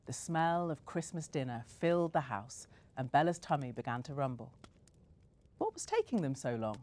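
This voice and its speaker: Low-voiced expressive woman reading a story. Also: normal speed. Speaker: reader.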